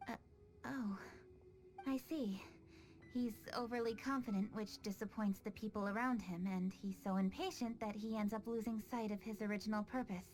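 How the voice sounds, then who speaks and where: A woman playing an earnest, dutiful young noblewoman in an anime-style game, speaking in a measured, thoughtful and composed way. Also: mid-range voice, steady tone, a little mellow, natural voice. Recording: music under the voice.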